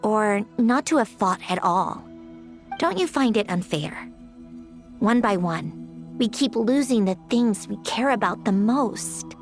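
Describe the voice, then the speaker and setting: An actress playing a weary, sardonic female inventor in a video game, speaking slowly with a dry, sighing delivery. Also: medium pitch, expressive. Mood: wistful. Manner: dry, sighing, slow and reflective. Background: soft game music.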